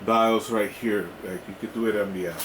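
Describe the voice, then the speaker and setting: Male product reviewer, fairly low voice, informal and halting unboxing delivery, recorded with clear background noise. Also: steady tone, reverberant. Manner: halting, often checking in with "right?". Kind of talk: casual hands-on unboxing demonstration.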